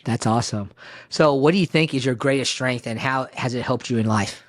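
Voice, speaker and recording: A man's voice: medium pitch, fairly quick. A man hosting an interview, asking questions in an upbeat way and often repeating words as he starts a phrase. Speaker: podcast host, interviewer.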